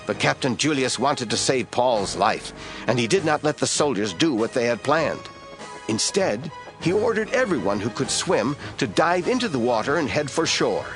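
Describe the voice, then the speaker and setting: A man narrating an audio drama in a measured storytelling manner. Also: medium pitch, big pitch swings. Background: soft music score.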